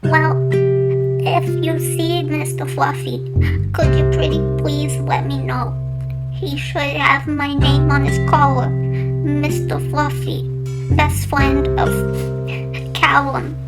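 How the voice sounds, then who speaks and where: A woman's voice: high voice, little pitch movement, slow, pauses often. A woman voicing a young boy in an audio drama who politely pleads for help finding his cat. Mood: pleading. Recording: loud music behind.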